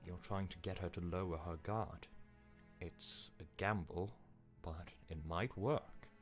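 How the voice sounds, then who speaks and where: A grave, ominous narrator performed by a man for a story game. His very deep voice is measured and commanding, with a wary edge. Music is clearly heard behind him. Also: steady tone. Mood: stern, warning.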